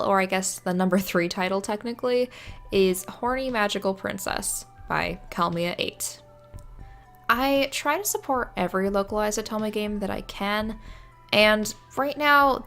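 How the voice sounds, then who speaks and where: A woman's voice: mid-range voice, fairly bright tone. A young adult woman giving casual, informal video commentary on games in a chatty, enthusiastic way. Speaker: video creator. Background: background music.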